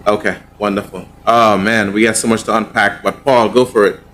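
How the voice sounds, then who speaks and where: A man's voice: lowish voice, little pitch movement, brisk, fairly bright tone, slight background noise. A man moderating a virtual panel, affirming and conversational on a video call.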